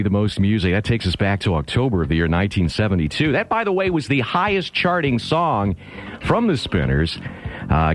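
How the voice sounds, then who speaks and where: An American man working as a radio DJ, hosting a seventies music show with patter between songs. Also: on the low side. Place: radio studio. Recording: radio aircheck with music behind.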